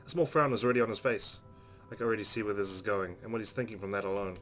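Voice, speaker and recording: Fast-talking low-voiced man reading game narration. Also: fairly even intonation. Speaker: let's play host. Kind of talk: reading visual novel narration aloud with commentary. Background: soft game music.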